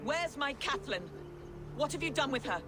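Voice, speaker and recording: A woman's voice: sits high, animated, moderate pace. A woman voicing an indignant Saxon queen in a video game, scornful and demanding. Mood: defiant, angry. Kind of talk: dramatic video game acting. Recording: game music clearly underneath.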